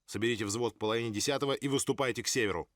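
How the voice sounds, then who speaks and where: A man's voice: mid-range voice, racing, fairly bright tone, no echo, natural voice. Curt Soviet officer played by a man, clipped commands, dry close sound. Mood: commanding.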